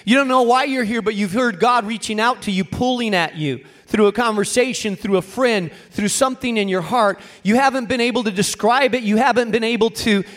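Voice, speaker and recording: A man preaching a sermon as a pastor, speaking in short, weighted phrases with dramatic pauses and emphatic repetition. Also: high voice, a bit bright.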